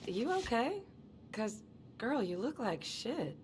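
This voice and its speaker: A woman's voice: fairly high pitch, very animated, slow pace, slight background noise. An actress playing a friendly, chatty small-town policewoman who talks in a colloquial, teasing way in a video game cutscene. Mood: breezy, affectionate. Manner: colloquial, joking. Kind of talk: naturalistic video game voice acting.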